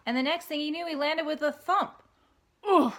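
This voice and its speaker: A woman's voice: high-pitched, steady tone, fairly quick, fairly bright tone. Woman reading a children's story aloud. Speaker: storybook reader. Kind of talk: expressive read-aloud narration of a children's story.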